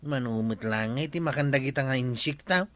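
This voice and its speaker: A man's voice: medium pitch, brisk, a bit bright, natural voice. A man playing a carefree, mocking village farmer with an Ilocano accent in a radio comedy drama. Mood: nonchalant.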